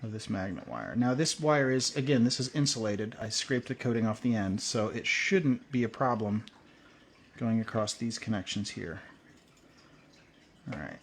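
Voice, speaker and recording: A man's voice: lowish voice, normal speed, husky. Man thinking aloud at a workbench. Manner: relaxed, thinking aloud while working. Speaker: video host. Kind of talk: hands-on tutorial.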